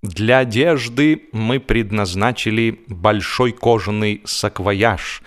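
A man's voice: on the low side, slow. A man reading humorous fiction as an audiobook narrator, with theatrical, wry delivery and varied emphasis.

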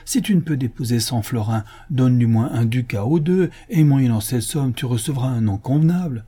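A man's voice: medium pitch, normal speed, dark tone, husky, close to an everyday voice. A man voicing an indignant, insistent wife and mother in a storybook reading, scolding and urgent. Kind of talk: audiobook character acting.

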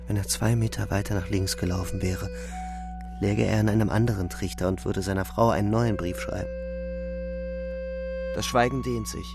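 An actor playing an anguished young soldier in a radio play, delivering a grave, halting, intense first-person monologue. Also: male voice, fairly low pitch, gravelly. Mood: remorseful. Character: anguished young male soldier recounting a killing in a shell hole. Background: music.

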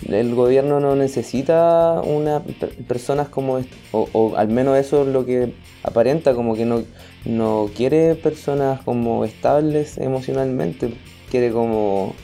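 A man's voice: mid-range voice, measured pace, dark tone, soft background music. A man with a Chilean accent in casual conversation, searching for words and using colloquial speech. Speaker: guest, podcast creator.

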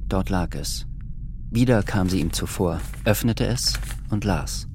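A man's voice: sits low, expressive, measured pace, noisy background. A man reading literary scripted narration as the narrator of a radio play, with music behind him.

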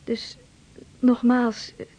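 An actress playing an anxious, longing wife of a seafarer in a radio play, with a breathy, half-whispered voice. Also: female voice, a little high, flat delivery, very slow, warm tone, dull audio. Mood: anxious, probing.

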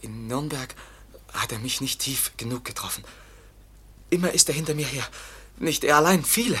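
A young foundling for a radio play, brooding and afraid. He talks in plain, halting phrases with a plaintive, naive tone, at a middling male pitch. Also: animated, sharp tone, slightly rough. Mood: anxious. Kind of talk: German radio drama acting.

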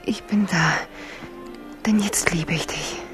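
An actress playing a tender, secretive royal bride in love in a German radio drama, breathy and intimate in manner. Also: female voice, mid-range voice, fairly even intonation, slow, whispered, loud music behind the voice, lightly acted. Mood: tender, guarded.

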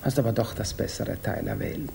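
An actor playing an urbane, breezy, slightly condescending young musician in a 1950s radio drama, talking lightly and nonchalantly. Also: male voice, lowish voice, brisk, vocal fry, darkish tone. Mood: teasing, condescending. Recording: faintly noisy 1950s radio recording.

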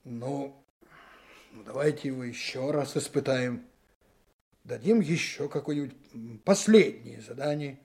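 An actor playing a fair-minded, kindly police colleague in a radio drama, speaking in a measured, considerate, slightly hesitant way. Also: male voice, mid-range voice, darkish tone, natural voice. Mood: advocating.

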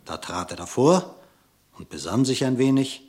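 A man's voice: mid-range voice, very animated, moderate pace, darkish tone, faint music behind. A man narrating a verse animal fable in a radio play, with declamatory, theatrical storytelling.

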